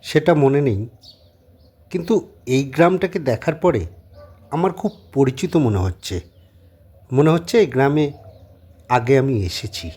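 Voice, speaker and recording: Guy doing a puzzled young houseguest, audio story, raspy and lively, polite but full of questions. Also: mid-range voice, unhurried, long pauses. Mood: puzzled, eager. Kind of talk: Bengali audio drama acting.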